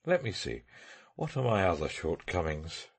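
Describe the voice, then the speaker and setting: A man voicing a brisk, eccentric detective in an audiobook, lively and matter-of-fact. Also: sits low, creaky, breathy edge, heavily acted. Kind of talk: audiobook character reading.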